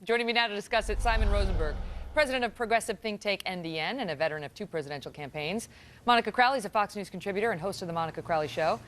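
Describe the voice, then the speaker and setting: A woman's voice: medium pitch, rapid-fire, a bit bright, a little hiss or hum. A woman anchoring a cable news show, introducing a report and leading a panel.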